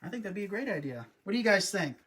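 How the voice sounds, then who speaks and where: Chatty breathy man hosting a craft live. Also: high voice, very animated, racing, fairly bright tone. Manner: chatty, upbeat, addresses viewers often. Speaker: host, wreath designer.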